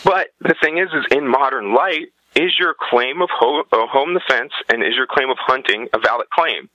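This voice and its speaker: A man's voice: medium pitch, moderate pace, pushed and bright, dry recording, rough recording. A man giving opinions as a podcast guest, conversational but emphatic, often arguing through his own questions.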